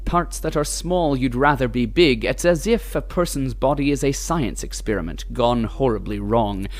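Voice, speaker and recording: An actor narrating an audio drama as a wry, grandiose, mock-solemn storyteller with deliberate phrasing. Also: male voice, medium pitch, close to an everyday voice.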